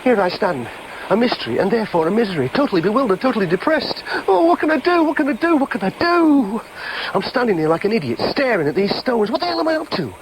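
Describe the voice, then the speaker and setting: A man's voice: very high-pitched, sing-song, fast, slight background noise, heavily acted, poor audio. An actor playing a bewildered, self-pitying man with a Yorkshire accent, philosophising in anguished, repetitive radio drama monologue. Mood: despairing.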